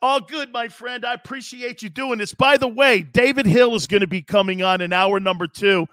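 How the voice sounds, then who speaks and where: A high-voiced male sports talk host with a bright, forceful tone. He speaks emphatically, reading out listener messages and chatting with a guest. The sound is close and dry from a studio. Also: normal speed, dry recording. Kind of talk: sports talk radio hosting.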